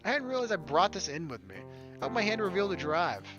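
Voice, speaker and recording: A man's voice: sits high, big pitch swings, fast talker, lo-fi sound, over music. A man hosting a gaming video, reading a visual novel aloud expressively and dropping in casual asides.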